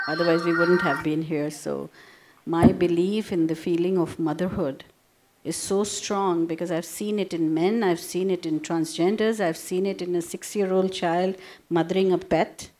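A middle-aged woman talks earnestly as a panelist on a conference stage, her voice low and mellow. She speaks in heartfelt bursts into a handheld microphone. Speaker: panelist, school founder. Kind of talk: panel discussion.

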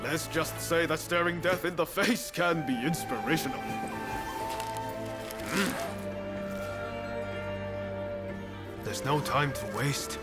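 An earnest young male samurai reformer, played by an actor in a game cutscene. His voice sits a little high, easygoing but full of conviction, with music clearly behind it. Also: a little slow, pauses often, lightly acted. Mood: confident, upbeat. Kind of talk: dramatic video game cutscene acting.

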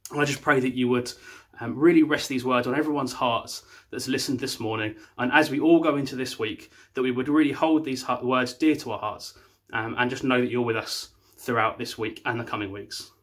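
A man's voice: medium pitch, steady tone, brisk, fairly bright tone. A young man, a youth worker, giving earnest closing remarks and a prayer from a small room at home, with fillers and restarts.

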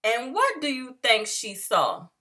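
A woman's voice: fairly high pitch, wildly swooping pitch, takes it slowly, bright, forceful tone. A woman reading a story theatrically, with exaggerated mock drama and call-and-response questions. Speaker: storyteller, host of own channel. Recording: music playing behind the voice. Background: music.

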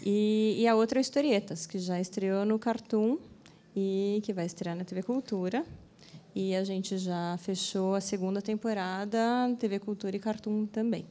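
A woman in her thirties with a Brazilian Portuguese accent, a channel executive speaking in a steady, businesslike way on an auditorium stage with a handheld mic. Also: mid-range voice, normal speed. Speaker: cartoon channel executive on a panel. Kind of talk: conversational talk to an audience.

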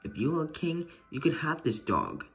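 A man's voice: fairly high pitch, huge pitch swings, moderate pace, gravelly, a bit bright, husky, faint music behind, voice pushed up, full character voice. A man voicing a grand, amused, fair-minded king in a children's storybook. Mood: amused, boastful.

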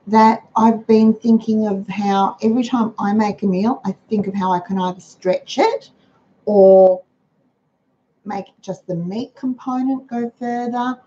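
An older Australian woman talks in a homely, chatty way, her voice hoarse and croaky. The tone is mellow and dark rather than bright. It comes through a dull, lo-fi webcam with a faint hiss underneath. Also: fairly even intonation, unhurried. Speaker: host of a frugal living livestream. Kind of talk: casual livestream talk. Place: home dining room. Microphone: webcam on a computer. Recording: dull low-fi webcam sound with faint noise.

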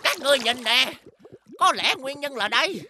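Grumbling insect henchman for a kids' cartoon, high and animated, whiny, hesitant, defiant. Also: normal speed, very noisy. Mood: explaining. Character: grumbling dark-gang underwater bug villain, whiny and defiant. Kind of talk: animated children's series dubbing.

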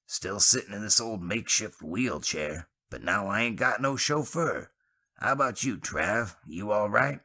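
A folksy working man with a relaxed drawl, as a narrator puts him on. The voice sits somewhat above the reader's usual low tone and keeps a bright edge. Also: unhurried, exaggerated voice. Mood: easygoing. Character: gruff self-assured working man.

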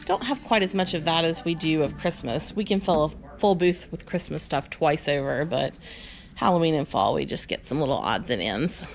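A woman's voice: low-pitched, moderate pace, creaky. A woman giving a chatty, upbeat walk-through of her booths in a large indoor antique mall, recorded on the camera she carries. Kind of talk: walk-through tour vlog.

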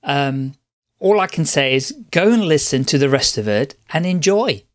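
A man's voice: on the high side, animated. A man hosting a podcast, welcoming his guest and asking questions in an upbeat, encouraging way. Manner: upbeat, encouraging, quick acknowledgements. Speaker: podcast host. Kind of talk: podcast interview.

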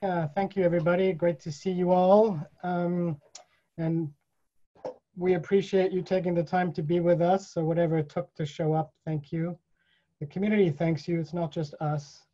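An older man hosting an online event, giving welcoming remarks in a measured way, pausing and repeating phrases deliberately. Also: high voice, level tone, warm tone. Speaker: event host. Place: small indoor room. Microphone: computer mic on a video call. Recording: dull, lo-fi video call audio.

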